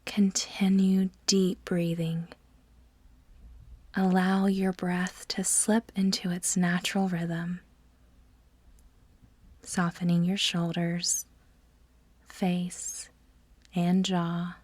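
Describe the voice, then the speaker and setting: Female meditation guide, lowish pitch, soft breathy near-whisper, unhurried and soothing, close dry mic. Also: level tone, no echo. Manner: soothing, measured, deliberate pauses between phrases. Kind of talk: slow guided meditation narration.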